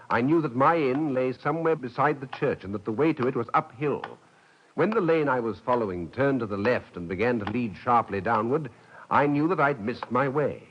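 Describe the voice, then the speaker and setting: A man's voice: mid-range voice, slight background noise, natural voice. An actor playing a headstrong French nobleman who tells of his youthful adventure in an articulate, dramatic radio-drama style. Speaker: lead actor and narrator. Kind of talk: mid-century radio drama narration and acting. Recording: slightly dull vintage radio recording, music behind.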